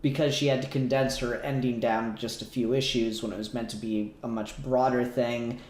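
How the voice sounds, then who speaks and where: A man speaks at an ordinary male pitch in a noticeably echoey room. He sounds like a co-host on a casual review podcast. His phrasing is hesitant and halting as he works out what he thinks. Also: little pitch movement, darkish tone. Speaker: podcast co-host reviewing a fan audio drama.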